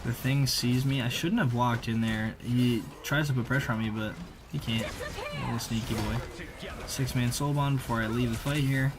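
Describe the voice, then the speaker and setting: A man giving casual, slangy voice-over commentary on gameplay, conversational with animated reactions. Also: medium pitch, normal speed. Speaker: gaming video creator.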